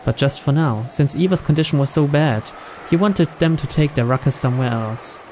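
A man's voice: on the high side, a little fast, mellow, slight background noise. A man reading visual novel narration aloud in a storytelling style. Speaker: narrator, let's player.

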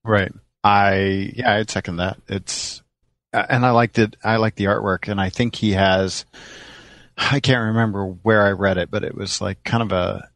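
Male podcast co-host, very creaky low voice, casual chat, dry close sound. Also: steady tone, normal speed, a bit bright, no room sound.

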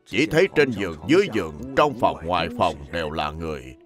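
A man's voice: low-pitched, big pitch swings, fast talker, music in the background. A man voicing a humble elderly Buddhist monk who teaches patiently in plain, simple words for a cartoon. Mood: calm teaching. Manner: didactic, plain, repeats instructions simply. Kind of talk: dubbed animated religious biography.

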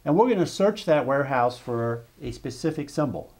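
A man talking through a software demonstration one step at a time. He explains in a steady, patient way and sometimes restarts a phrase mid-sentence. His voice sits at an ordinary middle pitch. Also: normal speed. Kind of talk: software tutorial screencast narration.

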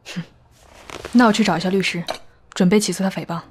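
An actress playing a capable female executive who talks in a crisp, dry, businesslike way. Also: mid-range voice, fairly even intonation, fast talker, darkish tone, slight background noise, lightly acted. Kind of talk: amateur audio drama acting.

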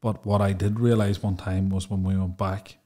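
A man's voice: sits low, level tone, normal speed, dark tone. A man co-hosting a podcast, asking questions in a chatty way. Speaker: podcast co-host. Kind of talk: casual interview chat. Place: podcast studio.

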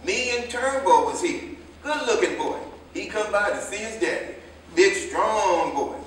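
A man's voice: sits high, expressive, unhurried, a bit bright. A man acting an easygoing, gossipy American jitney driver who relishes trouble, in a staged play reading. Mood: easygoing, nosy. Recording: distant mic, echoey hall, faint noise.

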